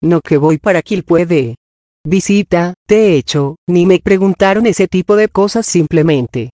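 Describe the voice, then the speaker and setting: A man's voice: high-pitched, unhurried, mellow, no room sound, studio quality. A man giving a personal testimony and recounting events while quoting what others said to him. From Colombia.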